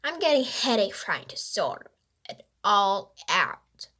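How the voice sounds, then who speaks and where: A woman's voice: mid-range voice, big pitch swings, slow, bright, forceful tone, heavily acted. A woman voicing an earnest, worried young boy detective in a children's storybook, with an urgent, questioning manner. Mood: puzzled.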